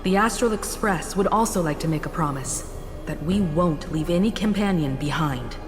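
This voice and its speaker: A woman's voice: on the low side, moderate pace, natural voice. A woman voicing a composed, kindly, mature leader in a video game, courteous and poised. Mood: sincere, reassuring. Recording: loud music behind the voice.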